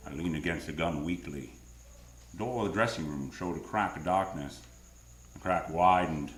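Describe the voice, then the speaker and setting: A man's voice: sits low, normal speed, a bit bright. A man playing a wry, world-weary private detective in a 1940s-style radio noir drama, talking and narrating in terse tough-guy lines. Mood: tense narration. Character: hard-boiled, wisecracking male private detective, world-weary but soft-hearted. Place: live radio drama on a theatre stage. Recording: roomy live theatre stage, faint music.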